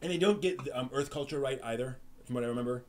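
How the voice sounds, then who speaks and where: A man talks casually on a live stream, answering the chat in a loose, rambling way. He trips over his words, restarts often and leans on fillers as he thinks aloud. His pitch sits right in the middle for a man. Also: fast, a little mellow, a little hiss or hum.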